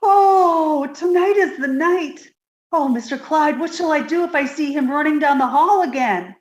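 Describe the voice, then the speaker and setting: Scatterbrained young secretary played by a woman, very high and lively, naive and literal, 1950s radio comedy style, roomy recording. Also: moderate pace, lo-fi sound, close to an everyday voice. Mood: dopey, distressed. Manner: guileless, earnestly literal.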